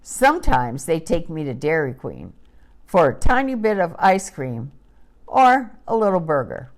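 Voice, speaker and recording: A woman voicing a gentle, wistful little female puppy, telling a children's story in simple, childlike words. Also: sits low. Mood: contented, cosy. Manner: slow, expressive, simple childlike phrasing. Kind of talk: children's audio story narration.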